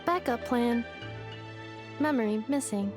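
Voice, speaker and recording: Woman as a formal, high-voiced amnesiac robot girl. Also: measured pace, over music, close to an everyday voice. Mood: detached. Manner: flat, clipped, formal. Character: polite female robot with amnesia, emotionless and formal.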